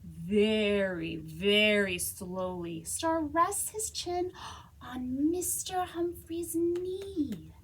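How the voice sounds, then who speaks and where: A woman reading a children's story aloud as a storytime reader, expressively, with dramatic pauses and whispers. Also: on the high side, slightly rough. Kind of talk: animated children's read-aloud.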